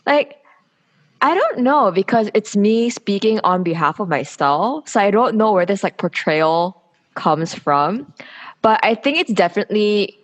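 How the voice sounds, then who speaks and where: A woman's voice: mid-range voice, lively intonation, a little slow. A woman in her twenties from South Korea, speaking candidly and with opinions as a guest in a casual interview, explaining herself at length. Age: 20s. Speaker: podcast guest, public policy student.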